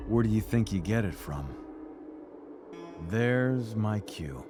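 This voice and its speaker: Gentle low-voiced dad, slow talker. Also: male voice, expressive, over music. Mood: wry, reflective. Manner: gentle, playful, reassuring. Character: gentle, teasing middle-aged dad in a dream. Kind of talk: naturalistic video game drama acting.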